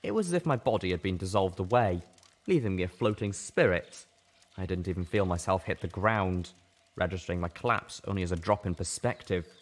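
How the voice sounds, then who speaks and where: A man with a low voice reads fiction aloud. His narration is dramatic and intense, with very lively, expressive shifts of pitch. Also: brisk. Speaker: audiobook reader. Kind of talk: dramatic first-person story narration.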